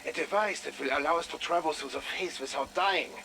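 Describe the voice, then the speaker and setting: A man's voice: a little high, fairly quick, creaky, pushed and bright, slight background noise. A man playing an anxious German scientist with a German accent, leaving an urgent, earnest recorded message in a game.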